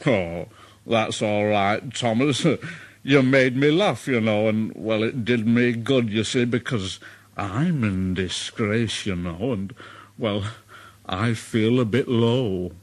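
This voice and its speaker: A man voicing a big, proud, grown express engine in a storybook reading, in a deep, chuckling, hearty voice. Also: lively intonation, slow pace. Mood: glum.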